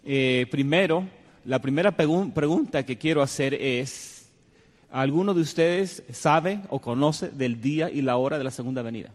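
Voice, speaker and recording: Male TV panel host, courteous, halting, long hall reverb. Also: mid-range voice. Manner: hesitant, many fillers, courteous. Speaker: program host and panel moderator. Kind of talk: religious TV panel discussion.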